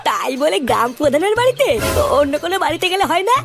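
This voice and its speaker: A woman's voice: extremely high pitch, very animated, rapid-fire, vocal fry, raised voice, over loud music, heavily acted. A woman voicing a timid male thief's sidekick in a comic cartoon, hesitant, fretful and pleading. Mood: fearful.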